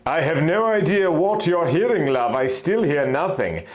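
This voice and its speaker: A man voicing a gentle, affectionate human wife in a fantasy story reading, measured and puzzled. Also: on the high side, very animated. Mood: puzzled, calm.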